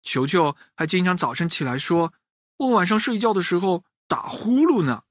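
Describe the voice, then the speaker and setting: A man voicing a cheerful mother hen in a children's story, answering a doctor in a raised, bright voice. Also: high voice, big pitch swings, moderate pace, dry recording, clean studio sound, voice pushed up.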